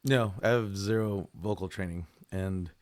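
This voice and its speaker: A man's voice: low voice, unhurried. A man hosting a livestream, chatting casually and joking with a guest, his voice a bit tired from lost sleep. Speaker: streamer host.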